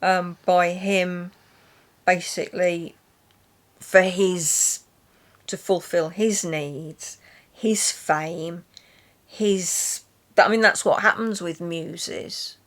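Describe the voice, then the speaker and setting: A woman's voice: on the low side, steady tone, drawn-out words, long pauses, fairly bright tone. A British woman chatting casually about literature as a host, with a wry, opinionated way of talking. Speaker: host of a poetry reading and book chat.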